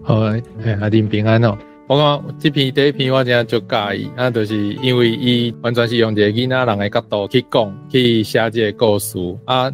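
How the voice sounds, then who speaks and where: A man's voice: medium pitch, steady tone, fairly quick, hardly any pauses, faint music behind. A man with a Taiwanese accent giving his reading of a story as a guest in a conversational discussion. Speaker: guest panelist.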